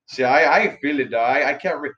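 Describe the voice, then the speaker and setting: A man's voice: mid-range voice, brisk, fairly bright tone. A man in his forties, a podcast co-host talking bluntly with heavy swearing, calling his friend bro. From Los Angeles area. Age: 40s. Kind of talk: casual chat. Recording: dull lo-fi sound.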